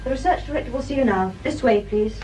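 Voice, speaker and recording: An actress playing a prim, efficient secretary in a British radio crime drama, with a crisp, formal manner. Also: female voice, a little high, fast talker, dark tone, lightly acted. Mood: dutiful, formal. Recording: distant, echoey, noisy radio recording.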